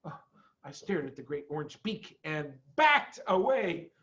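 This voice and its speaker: A man reading a children's storybook aloud expressively, with dramatic pauses. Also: on the high side, big pitch swings, fairly bright tone. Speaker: head of school reading aloud. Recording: dull, muffled sound.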